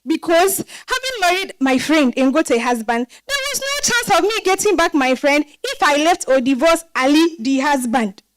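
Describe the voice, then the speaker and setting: An actress playing a wry, regretful married woman telling her story in an expressive dramatized monologue. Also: high voice, normal speed, few pauses, dry recording. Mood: wry, reflective. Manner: expressive, reflective.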